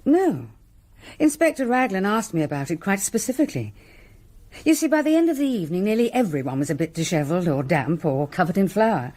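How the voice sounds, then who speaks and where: An actress playing a composed, genteel middle-aged British village hostess in a radio drama, articulate and reserved. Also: female voice, mid-range voice, big pitch swings, a little fast, darkish tone. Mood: matter-of-fact.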